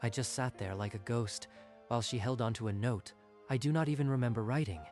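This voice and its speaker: Manga dub male lead: man as reserved office worker, mid-pitched, halting, bewildered, faint music behind. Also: a little mellow, natural voice. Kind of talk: dramatic manga dub acting. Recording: music under the voice.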